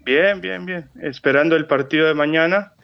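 A man's voice: on the high side, normal speed, gravelly, no echo, dull audio, faint music behind. A man in his thirties from Colombia, a guest calling in to a radio show, chatting casually with many fillers and restarts. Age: 30s. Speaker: phone-in guest.

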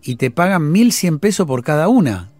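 Insistent Argentine man, lively pitch. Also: moderate pace, no room sound, faint music behind. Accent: Rioplatense Spanish. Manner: probing, insistent, recaps figures. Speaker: radio host. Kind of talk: questioning phone-in chat.

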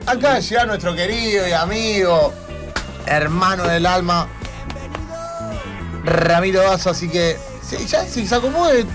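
A man's voice: high-pitched, animated, measured pace, long pauses. A man with an Argentine Rioplatense accent, hosting a radio show in a casual live chat style. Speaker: radio host. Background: music.

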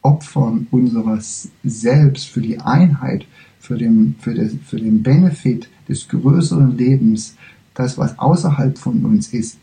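Very dark-voiced man giving a halting lecture. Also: medium pitch, creaky, low-quality recording. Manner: halting, searching for words, many restarts; says his German is not fully fluent. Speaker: spiritual lecturer. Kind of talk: reflective spiritual lecture.

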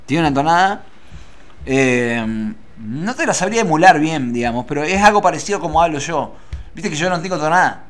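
An Argentine man co-hosting a radio show, with a somewhat high voice. He banters in a joking, playful way and sometimes puts on a grand announcer tone for laughs. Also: animated, normal speed. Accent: Argentine Spanish. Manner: joking, theatrical announcer bits. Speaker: radio co-host.

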